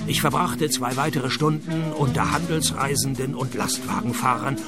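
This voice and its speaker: Man as gravelly, deadpan private eye. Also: mid-range voice, normal speed, natural voice. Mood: deadpan, wry. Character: dry, laconic, cynical male private detective. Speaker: lead detective and narrator. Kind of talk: first-person hardboiled radio play narration. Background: music.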